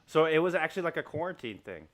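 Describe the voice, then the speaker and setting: A man from Texas co-hosting a podcast, quick and chatty. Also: on the high side, big pitch swings. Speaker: podcast co-host. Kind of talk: casual chat.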